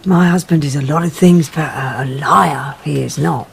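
Creaky, fussy, grasping wife. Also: male voice, fairly high pitch, moderate pace, background noise. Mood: pointed, wheedling. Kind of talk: radio drama acting.

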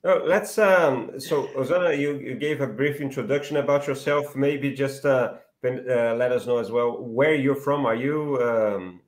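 A man's voice: mid-range voice, measured pace, few pauses. A man in his thirties hosting a video call interview, asking friendly questions with fillers. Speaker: host. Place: home room, indoors. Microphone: laptop webcam on video call.

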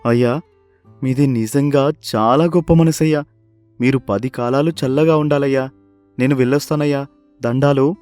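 Male actor as poor village farmer in a story cartoon: humble, deferential, medium pitch, emphatic. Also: fairly even intonation, normal speed, pauses often, darkish tone, dry recording, soft background music, close to an everyday voice. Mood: grateful. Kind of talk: animated moral story character acting.

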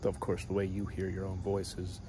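A man's voice: completely flat delivery, a little fast. A man in his thirties rambling hesitantly to a camera held close below his face, with plenty of fillers and trailing thoughts. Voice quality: fairly deep voice. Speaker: vlogger. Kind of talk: rambling casual monologue. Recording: loud cricket noise behind voice. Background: crickets and insects at night.

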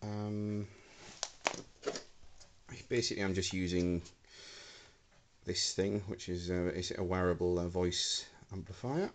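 A man's voice: deep voice, steady tone, slow pace, long pauses, very noisy. Hesitant man demoing gadgets. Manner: hesitant, many ums, talking while handling gear. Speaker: hobbyist prop maker. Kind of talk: casual product demonstration. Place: indoor room with a wooden floor. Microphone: handheld camera mic.

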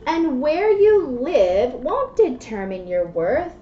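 Lively high-voiced woman talking to children. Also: slow. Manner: simple child-directed explaining with frequent check-in questions. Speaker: mother and children's read-aloud presenter. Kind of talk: reading a picture book aloud and chatting to a small child. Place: small classroom, indoors. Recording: muffled, echoey webcam audio with noise.

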